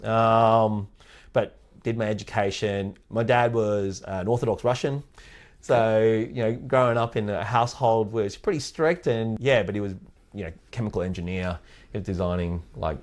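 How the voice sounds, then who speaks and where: A man from Thailand raised in Australia, speaking with an Australian accent as an interview guest, relaxed and laughing often. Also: fairly low pitch, normal speed. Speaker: guest, head of sales operations. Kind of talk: casual interview chat.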